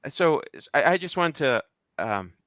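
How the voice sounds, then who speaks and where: A man with a bright voice makes casual, joking conversation. He gets there haltingly and with detours, recorded close and very dry. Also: fairly high pitch, very animated, no echo. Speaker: show regular. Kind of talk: casual comedic chat.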